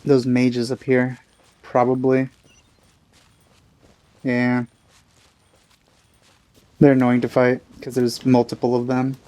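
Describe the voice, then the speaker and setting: A man's voice: mid-range voice, completely flat delivery, takes it slowly, pauses often, warm tone. A man giving casual gameplay commentary as a let's play host. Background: game music.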